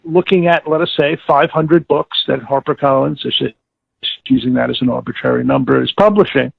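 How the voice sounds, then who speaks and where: An older man being interviewed, recalling past work in a halting, deliberate, wordy way and often correcting himself. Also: mid-range voice, vocal fry, breathy edge, dry recording. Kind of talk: reminiscing interview. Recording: tinny narrowband call, like old radio.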